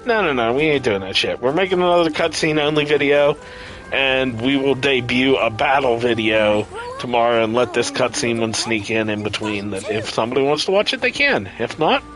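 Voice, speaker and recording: A man's voice: mid-range voice, lively intonation, moderate pace, fairly bright tone, faint music behind. A man doing casual live gameplay commentary as a streamer, irreverent, sarcastic and freely profane. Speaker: game streamer.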